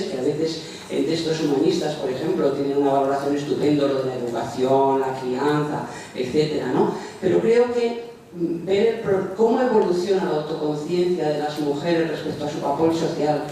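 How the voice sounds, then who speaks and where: A woman's voice: low-pitched, steady tone, fairly quick, warm tone, a little hiss or hum. Older woman speaking from an echoey hall. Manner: hesitant, thinking aloud with fillers. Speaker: audience member commenting. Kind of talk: spontaneous academic comment. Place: university lecture hall. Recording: distant, very echoey lecture hall.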